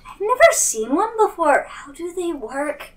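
A woman's voice: extremely high pitch, sing-song, unhurried, far from the mic. A woman talking casually as a player in a tabletop game. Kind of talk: casual chat.

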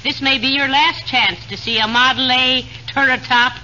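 A woman's voice: sits high, fairly even intonation, moderate pace, pushed and bright. A woman playing a good-natured, wry wife in 1940s radio comedy style. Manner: wry, homey. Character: good-natured, wisecracking wife hosting a dinner. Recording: noisy, muffled 1940s radio broadcast.

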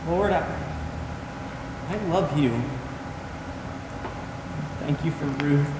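An actor on a theatre stage playing a sick, humble young man praying earnestly and haltingly for forgiveness. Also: on the high side. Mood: remorseful pleading. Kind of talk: stage play acting. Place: large theatre stage, recorded from the audience. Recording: very echoey theatre, distant, noisy.

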